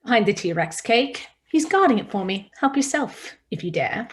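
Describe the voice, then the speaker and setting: A woman's voice: a little high, big pitch swings, moderate pace. A woman reading the part of a playful mother in a screenplay table read. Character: Kelly, playful mother hosting a kids' party.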